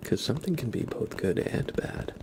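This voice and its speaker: A man softly chatting to viewers as an ASMR livestreamer, gentle and unhurried, often in a whisper. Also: background noise.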